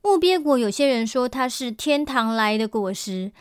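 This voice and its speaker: Radio-play shop clerk, young and female, woman acting: high voice, dry close sound, patient, gentle, encouraging. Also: expressive, normal speed, clear, resonant tone, no echo, natural voice. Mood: playful, encouraging. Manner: gentle, encouraging, explaining to a child. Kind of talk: amateur radio-drama acting.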